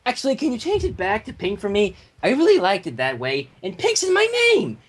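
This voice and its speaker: A woman voicing a hyperactive, excitable young female cartoon pony who babbles on breathlessly. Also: mid-range voice, a little fast, breathy edge. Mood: cheerful, pleading.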